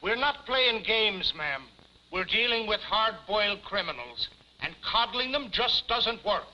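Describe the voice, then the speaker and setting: Blustering, piercing-voiced police chief. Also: male voice, high voice, sing-song, unhurried, bright, forceful tone, exaggerated voice. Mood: indignant, firm. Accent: American. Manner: emphatic, officious, addresses woman formally as ma'am. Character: blustering hard-boiled police chief, gruff but courteous to ladies. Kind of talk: 1950s TV comedy acting. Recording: muffled 1950s television soundtrack.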